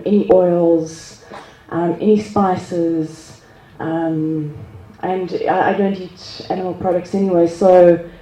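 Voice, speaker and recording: Woman, casual group share, self-deprecating and laughing, mellow voice on a mic in an echoey room. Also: on the low side. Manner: self-deprecating, laughs often, many restarts. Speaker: group speaker sharing experience. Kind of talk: casual personal sharing at a gathering. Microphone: handheld microphone.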